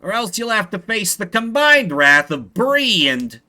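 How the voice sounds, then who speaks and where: Guy putting on a shifty rat crook, pitched up and swoopy, cocky game villain. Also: very animated, unhurried, bright, forceful tone, full character voice. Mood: threatening. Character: cocky, shifty rat bandit demanding toll. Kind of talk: video game dialogue read aloud.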